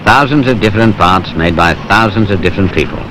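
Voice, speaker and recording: British male narrator, low voice, wry, colloquial, scripted but conversational, noisy 1970s film soundtrack. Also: lively intonation, fast talker.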